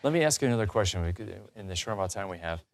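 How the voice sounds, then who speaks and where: A man's voice: low voice, lively intonation, very fast, creaky, no room sound. A man hosting a religious talk show in a conversational, earnest and encouraging way.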